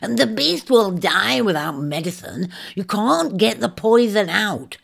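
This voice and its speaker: A woman's voice: medium pitch, lively intonation, unhurried, gravelly, full character voice. A woman voicing a crafty, urgent shrike bird with a hissing delivery and old-fashioned speech, in a storybook narration. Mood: sly urgency. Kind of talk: audiobook storytelling character voice.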